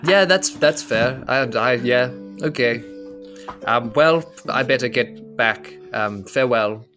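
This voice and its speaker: Man playing a halting, earnest young adventurer. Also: medium pitch, a bit bright, soft background music. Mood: awkward. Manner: halting, apologetic, repeats himself. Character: earnest, awkward, nervous male adventurer, tender-hearted.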